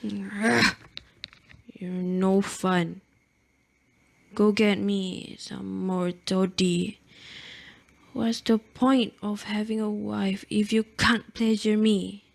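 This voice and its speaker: A callous drunken husband played by a woman in an amateur radio drama. The delivery drags very slowly, with a gravelly creak, demanding and abusive in manner. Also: mid-range voice, steady tone, exaggerated voice.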